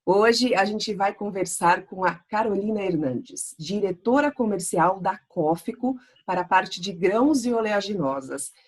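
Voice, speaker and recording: A woman from Brazil who hosts an interview and asks her questions in an eager, talkative way. Her voice has a slightly husky edge and sits at a medium pitch. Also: expressive, a little slow, few pauses. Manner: enthusiastic, chatty. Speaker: host. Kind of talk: interview hosting.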